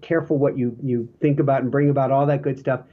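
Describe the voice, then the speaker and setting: A male podcast host with a hoarse voice, chatting casually and rambling with fillers and restarts. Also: medium pitch, fairly even intonation, quick pace, narrow, tinny audio. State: hoarse, coughing from smoke.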